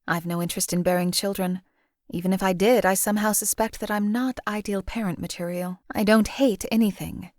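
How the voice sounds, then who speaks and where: An actress voicing a detached female assassin companion in a video game, with deadpan, measured, emotionally flat delivery. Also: medium pitch, no room sound, studio quality, close to an everyday voice. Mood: coolly detached.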